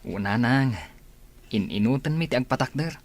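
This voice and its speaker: A man's voice: medium pitch, moderate pace, close to an everyday voice. An actor with a Filipino accent playing an earnest, hardworking married man in a radio drama. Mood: respectful, earnest.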